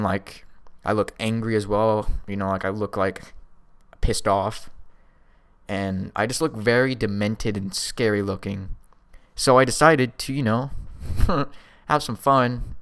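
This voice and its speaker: A man's voice: lowish voice, a bit bright, clear, resonant tone. A young man casually telling a first-person story in a rambling, slangy way, often with a chuckle. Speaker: storyteller vlogger.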